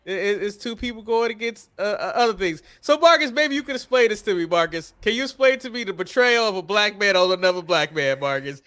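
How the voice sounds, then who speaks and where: A man's voice: high voice, expressive, a little fast, few pauses, sharp tone. A man hosting a wrestling podcast in casual panel chat, steering the talk with his co-hosts. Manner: reads out viewer comments, puts questions to co-hosts.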